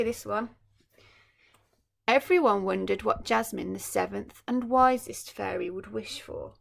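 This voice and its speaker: A woman's voice: mid-range voice, gravelly. A woman primary school teacher reading a story expressively, with explanatory asides for young children.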